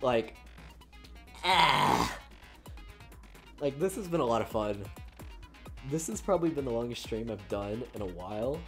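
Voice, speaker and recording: A woman's voice: a bass voice, animated, unhurried, pauses often, faint music behind. A woman streamer with a tired voice, chatting casually in a rambling way as she signs off. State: tired voice after a long stream. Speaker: streamer playing a story game. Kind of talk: casual stream sign-off chat.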